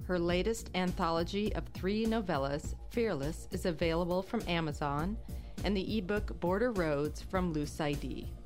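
A woman's voice: fairly low pitch, normal speed, a little mellow. A woman reading scripted introductions as a podcast announcer. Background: music under the voice.

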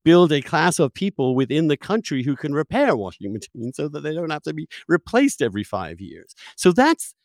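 A man's voice: fairly high pitch, big pitch swings, a little fast, few pauses, a little mellow, no echo, clean studio sound. A man hosting an intellectual interview, arguing his points emphatically in conversation. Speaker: interview host.

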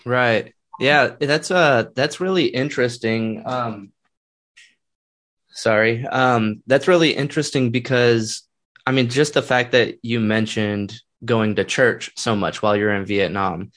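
A man's voice: mid-range voice, a little slow, fairly bright tone. An American man interviewing a guest in a casual chat. He rambles enthusiastically and restarts his sentences often. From United States.

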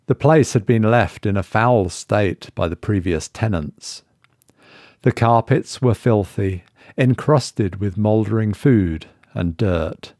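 Guy reading a scary story, low voice, slow n ominous, really dry close sound. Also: darkish tone, no room sound. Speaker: story reader and narrator. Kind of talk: scripted horror story narration.

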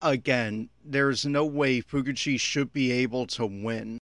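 A man's voice: mid-range voice, steady tone, a little slow, a bit bright, no echo, studio quality. A man giving unscripted, opinionated audio commentary on an anime episode, critical and exasperated in manner.

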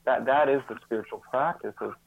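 Halting man of fifty, muffled phone-like sound. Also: medium pitch. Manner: halting, repeats words, thoughtful and earnest. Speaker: interview guest, author. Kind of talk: reflective interview conversation.

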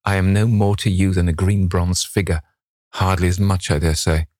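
A man's voice: sits low, level tone, normal speed, a little mellow, no room sound, studio quality, natural voice. A man voicing a vain, petulant young man in an impassioned, dramatic reading of a novel. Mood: bitter, hurt. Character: petulant, anguished young man, vain about his beauty.

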